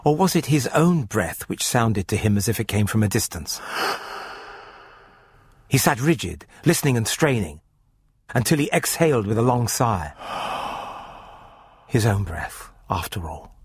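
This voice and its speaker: A man's voice: on the low side, big pitch swings, unhurried, pauses often, breathy edge, no echo. A man narrating a radio horror story in a dramatic, scripted style, with music under his voice.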